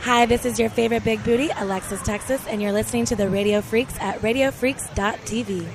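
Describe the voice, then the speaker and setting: A woman's voice: fairly high pitch, fairly even intonation, quick pace, creaky, slight background noise. A woman recording a station ID in a flirty, teasing tone.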